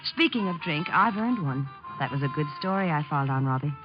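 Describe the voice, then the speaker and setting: An actress playing a fearless, flirtatious star reporter with cocky, slangy banter in a 1950s radio drama. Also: female voice, low voice, lively intonation, fairly quick, music in the background. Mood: teasing. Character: brash, fearless, flirtatious, hard-drinking female star reporter. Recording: muffled old 1950s radio recording.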